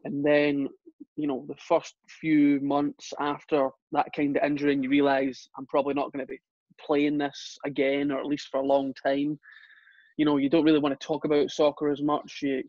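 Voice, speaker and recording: A man's voice: fairly high pitch, normal speed, dry recording. A young Scottish man, a soccer coach, chatting casually as an interview guest, with many fillers and restarts. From Scotland. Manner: relaxed, with frequent fillers and restarts. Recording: dull, lo-fi sound.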